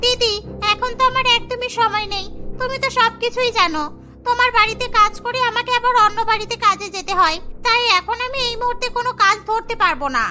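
A woman's voice: extremely high pitch, over music, voice pushed up. A woman voicing a busy housemaid who is impatient and in a hurry to get to her next job. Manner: hurried, pushy.

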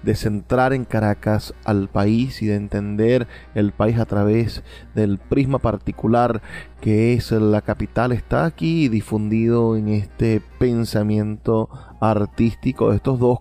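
A man hosting a radio show, giving reflective music commentary in a halting, searching way. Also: fairly low pitch, warm tone. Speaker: radio host.

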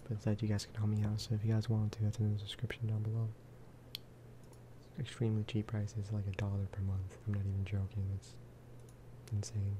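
A man giving soft-spoken ASMR commentary over a game, casual and rambling. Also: lowish voice, monotone, brisk, background noise. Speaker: gaming video creator.

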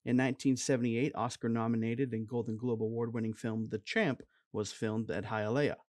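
Male voice-over narrator, mid-pitched and even, reading a history script in a dead-dry booth. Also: normal speed, no room sound. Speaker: documentary narrator.

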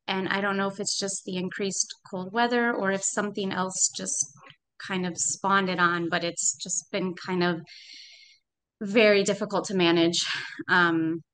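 Smooth-voiced woman on video call. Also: medium pitch, fairly even intonation, measured pace, a bit bright, rough recording. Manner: earnest, thoughtful, trailing hedges. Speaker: parent in a program. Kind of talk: casual conversation. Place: home room.

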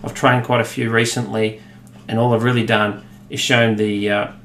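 A man in his thirties with an Australian accent, explaining a software demo in a relaxed way, with many fillers. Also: lowish voice, moderate pace, a little hiss or hum. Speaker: product demonstrator. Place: office room, indoors. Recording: echoey room with faint ambience.

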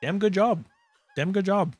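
A man's voice: sits high, a little slow, husky, faint music behind. A man giving casual reaction commentary as a streamer, in laid-back murmured asides.

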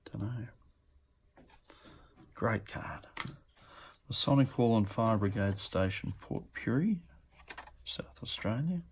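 A man's voice: on the low side, lively intonation, slow, long pauses, slight background noise. A man giving casual, off-the-cuff commentary as he presents auction items, musing to himself as he goes. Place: indoors at a table.

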